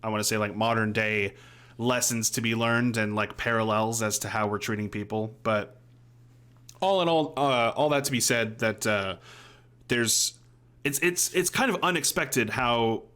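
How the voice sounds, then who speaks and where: A man's voice: fairly low pitch, fairly bright tone. A man in his thirties chatting casually as a podcast co-host, talking about TV in an informal, rambling way from a room at home. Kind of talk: casual chat, reviewing TV shows. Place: home room, on a video call.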